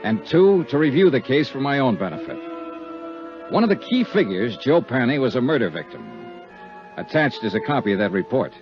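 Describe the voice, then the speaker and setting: A man's voice: medium pitch, big pitch swings, normal speed. An actor playing a tough, dry male insurance investigator in a 1950s radio detective drama, both narrating and in dialogue. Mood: matter-of-fact narration. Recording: dull 1950s radio recording, music behind.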